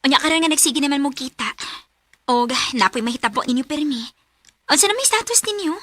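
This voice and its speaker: A woman's voice: high-pitched, lively intonation, a little fast, bright, forceful tone. A woman playing a nosy, teasing young best friend with a Cebuano Filipino accent, prodding and exclaiming in a radio romance drama.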